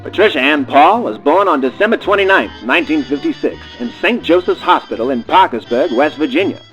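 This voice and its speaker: A man's voice: a little high, lively intonation, fairly quick, a bit bright, soft background music, poor audio. A man playing a theatrical old-time radio announcer, presenting and narrating a scripted comedy play. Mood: storytelling.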